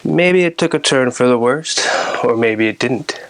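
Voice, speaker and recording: A man narrating a scary story in a deliberate, theatrical storyteller's manner, addressing his listeners as host. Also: mid-range voice, a bit bright.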